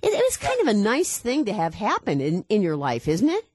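A woman hosting a live radio talk show, earnest and measured as she introduces a guest. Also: medium pitch, wildly swooping pitch, a little mellow, slight background noise. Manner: earnest, measured, reads quotes aloud. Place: radio studio.

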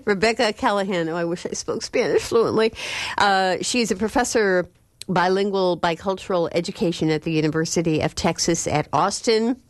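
A woman hosting a radio call-in show, briskly moving between callers and wrapping up. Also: lowish voice, no room sound. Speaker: radio talk show host.

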